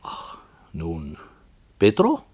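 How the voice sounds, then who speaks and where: A man playing a wry, thoughtful radio play author who speaks deliberately in scripted 1960s radio dialogue. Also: sits low, huge pitch swings, a little mellow, husky, exaggerated voice.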